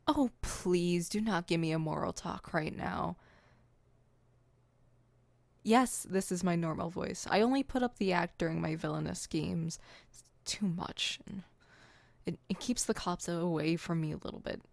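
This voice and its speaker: A woman's voice: on the low side, unhurried, vocal fry, darkish tone, dry recording. A woman voicing an exasperated, proud but secretly caring supervillain in an ASMR roleplay, with an indignant, wordy, dry delivery.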